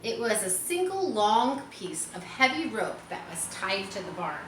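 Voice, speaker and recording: A woman reading a children's story aloud, expressive, as if guiding young listeners through it. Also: medium pitch, measured pace. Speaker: storybook reader. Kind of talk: children's read-aloud narration. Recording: roomy echo, faint background noise.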